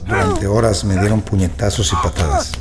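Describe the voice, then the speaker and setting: A man's voice: low voice, steady tone, normal speed, close to an everyday voice. An actor playing a subdued, wronged male prisoner, narrating his ordeal in the first person for an audio drama. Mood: somber recounting. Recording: loud music bed with vehicle sounds.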